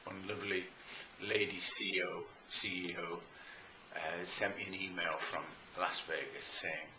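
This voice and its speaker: A British man speaking as a coach promoting his services in an informal, enthusiastic and emphatic talk with deliberate pauses, recorded in a roomy space. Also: low voice, flat delivery, sharp tone. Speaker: life and business coach promoting own services. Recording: roomy echo, faint background noise.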